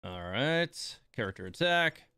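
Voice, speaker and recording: A man's voice: medium pitch, very animated, a little slow, pushed and bright, clear, resonant tone, no echo, clean studio sound. A man streaming a game, giving casual live commentary with muttered, mildly exasperated asides to himself.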